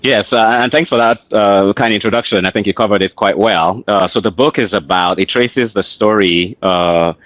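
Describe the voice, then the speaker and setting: A man's voice: lowish voice, normal speed, few pauses, fairly bright tone, no echo. A middle-aged man with a Nigerian accent, speaking as an interview guest in a reflective, hesitant way, often repeating words as he searches for the next. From Lagos, Nigeria. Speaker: interview guest, author and engineer. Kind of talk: conversational interview storytelling.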